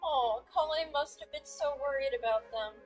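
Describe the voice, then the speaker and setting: A woman streamer giving casual, expressive, giggly reactions as she plays a video game. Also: high voice, moderate pace, faint music behind. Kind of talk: casual let's play commentary.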